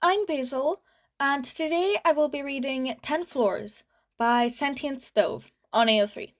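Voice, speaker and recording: A fan fiction reader with a fairly high voice. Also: female voice, a little slow. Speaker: podfic reader. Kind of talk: reading fan fiction aloud.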